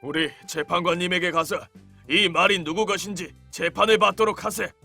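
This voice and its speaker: A performer acting out a gentle king traveling in disguise for a storybook drama. He speaks calmly and with dignity, in an old-fashioned way. The voice is bright and forceful, and faint music plays behind it. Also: medium pitch, normal speed. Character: disguised kindly king, patient middle-aged man. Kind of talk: children's storybook audio drama. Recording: soft background music.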